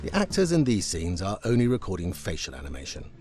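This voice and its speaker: A man's voice: low-pitched, huge pitch swings, quick pace, a little mellow. A man giving scripted documentary narration as a game's creative director. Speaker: game executive creative director. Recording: music bed behind narration. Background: background music.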